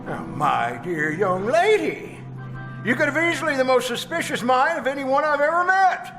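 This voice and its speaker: A man playing a sly, murderous old villain in an old-time radio horror play, falsely soothing and chuckling, repeating himself in halting phrases. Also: very high-pitched, sing-song, exaggerated voice. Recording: live stage performance with loud music.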